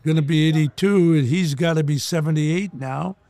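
An older man in his eighties swaps hockey stories in a gruff, joking way. His voice sits a little high, and the close studio mic keeps it dry and free of room sound. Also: normal speed, mellow, dry recording. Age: 80s. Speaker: podcast co-host, retired hockey player. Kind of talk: casual reminiscing chat.

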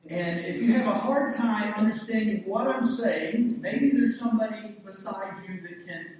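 Older man, Southern US accent, preacher, folksy and rambling, high voice, echoey hall heard from far off. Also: normal speed, darkish tone. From Southern United States. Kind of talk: sermon. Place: church hall.

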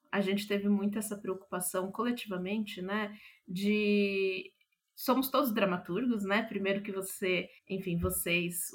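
A Brazilian woman answers as an interview guest, her pitch in the middle range. She talks reflectively as a playwright, hesitating and rephrasing as she searches for the right word. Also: fairly bright tone.

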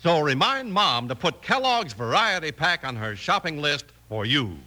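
A man's voice: on the high side, very animated, normal speed, pushed and bright. An American man announcing in a polished, salesmanlike 1950s radio style. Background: music.

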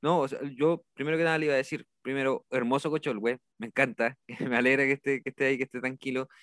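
A man's voice: mid-range voice, level tone, fast, a bit bright, no room sound, clean studio sound. A man with a Chilean accent conducting a conversational interview in a polite, deferential and appreciative way.

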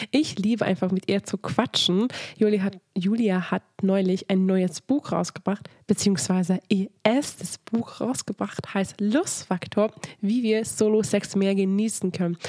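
A woman's voice: medium pitch, a little mellow, no echo, studio quality. A young woman hosting a casual podcast over a video call. She is sick, her voice strained, and she talks in a bubbly, gushing, chatty way. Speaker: podcast host.

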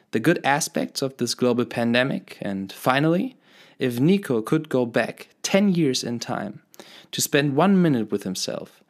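A man reading a scripted podcast introduction in an earnest, heartfelt way. His voice sits in an ordinary middle pitch. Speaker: podcast host.

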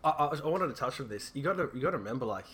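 Bright-voiced young Australian man. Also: mid-range voice, lively intonation, very fast, a little hiss or hum. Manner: rambling, laughs often, restarts a lot. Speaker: guest. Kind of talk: casual podcast chat.

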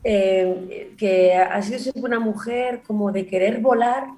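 Woman w barcelona accent, comedy writer chatting, lively storyteller, laughs a lot. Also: mid-range voice, measured pace. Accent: Barcelona Spanish. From born in Granada, raised in Barcelona. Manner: lively storyteller, laughs often, many restarts. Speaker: comedy writer and performer, guest. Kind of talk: casual interview conversation.

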